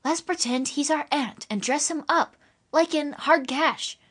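Woman narrator as an anxious young girl; pitched way up, urgent. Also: unhurried, fairly bright tone. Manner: high, urgent, pleading.

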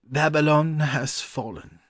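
A man acting as a weary old-fashioned scholar from a storybook, gentle and courteous. His voice sits at a medium pitch and he speaks in an unhurried, learned way, recorded dry. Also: no echo, close to an everyday voice. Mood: wistful. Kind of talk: dramatized children's audiobook.

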